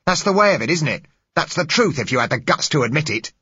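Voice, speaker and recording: A fiery street agitator of about thirty, as a male narrator puts him on, loud and raised well above the reader's own low voice. His speech mixes the rough and the educated, harsh and emphatic. The audiobook recording is dry and a little dull. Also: sing-song, quick pace, no room sound, heavily acted. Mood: contemptuous. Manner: rough yet educated, shouting passionately.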